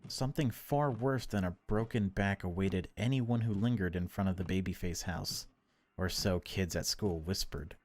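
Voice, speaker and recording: A man narrating a horror visual novel in a measured, unhurried way, with casual asides as a gaming video host. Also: low voice, a little hiss or hum.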